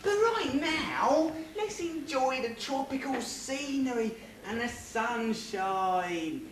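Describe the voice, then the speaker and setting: A woman's voice: high voice, lively intonation, slow pace, a little hiss or hum. A woman playing a dim, carefree henchman in a stage pantomime. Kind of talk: broad stage pantomime acting. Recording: echoey hall, distant mic.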